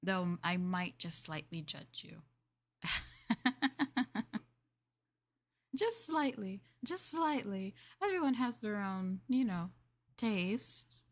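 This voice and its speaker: Woman streamer, maid roleplay, unhurried, playful and teasing, polite. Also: mid-range voice, lively intonation. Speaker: VTuber streamer playing a maid. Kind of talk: roleplay ASMR live stream chatting with viewers.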